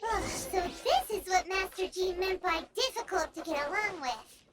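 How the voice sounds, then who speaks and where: A woman playing a tiny, childlike, excitable fairy companion in a video game, with a squeaky, blunt, chirpy delivery. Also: moderate pace, very noisy. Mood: puzzled. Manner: chirpy, blunt, third-person self-reference. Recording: loud game music behind.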